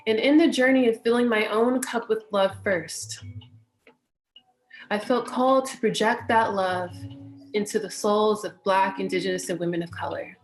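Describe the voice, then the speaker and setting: A woman from California with an American accent, talking gently and unhurriedly as she hosts a guided meditation session and speaks in spiritual phrases. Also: medium pitch, steady tone, moderate pace, rough recording. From California, United States. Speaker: meditation teacher and session host. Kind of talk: welcoming introduction to a guided meditation, personal talk.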